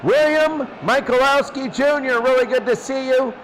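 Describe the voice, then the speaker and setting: A man's voice: very high-pitched, normal speed, a little hiss or hum, low-quality recording. A man doing football play-by-play as the announcer, often repeating his phrases. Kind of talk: amateur football play-by-play commentary.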